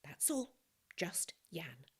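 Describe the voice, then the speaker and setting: A woman's voice: sits low, very animated, slow, breathy edge, heavily acted. A woman voicing a small, cheeky, secretive orphan boy in a storybook reading, speaking in short matter-of-fact phrases. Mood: guarded, earnest.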